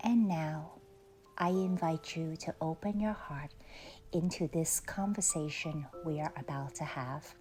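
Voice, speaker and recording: Slow, low-pitched woman leading a meditation. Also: darkish tone. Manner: soothing, unhurried, with long pauses. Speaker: guided meditation leader. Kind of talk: guided meditation. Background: faint music.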